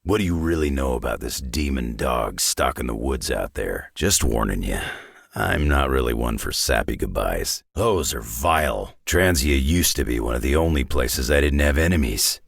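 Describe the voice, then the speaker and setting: A man playing a gruff, world-weary, sardonic middle-aged superhero brawler in a video game, with a raspy growl and a blunt, wry drawl. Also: extremely low pitch, expressive, moderate pace, no room sound, clean studio sound, exaggerated voice. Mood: dry, weary. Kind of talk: video game character voice lines.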